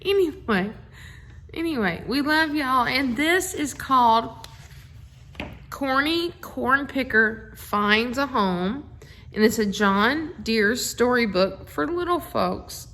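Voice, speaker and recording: A woman's voice: on the high side, expressive, takes it slowly, a bit bright, slight background noise. A middle-aged woman chats casually and effusively into a phone, rambling through asides as she introduces a bedtime story reading. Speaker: host of a family social media video. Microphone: handheld phone.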